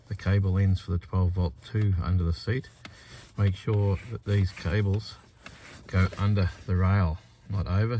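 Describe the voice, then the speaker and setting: A man with a fairly deep voice narrates a hands-on how-to as a DIY presenter. He is plain and matter-of-fact, explaining things step by step. There is some faint noise behind his voice. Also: mellow.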